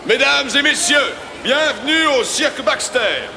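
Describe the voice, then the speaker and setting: An actor playing an excitable circus owner and ringmaster with a grand showman's manner in a dubbed cartoon. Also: unhurried, gravelly, background noise, exaggerated voice. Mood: grand, showy. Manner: grandiose, booming announcements. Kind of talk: theatrical cartoon dub acting. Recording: music behind the voice.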